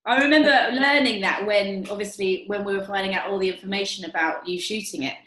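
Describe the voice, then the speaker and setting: A woman's voice: mid-range voice, fast talker, a bit bright. A young woman chats casually as a guest actress in a panel interview. She is hesitant and often corrects herself mid-sentence. Her voice comes through with a roomy echo, as if recorded at home. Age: young adult. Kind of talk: casual panel chat, personal anecdotes. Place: at home on a remote call.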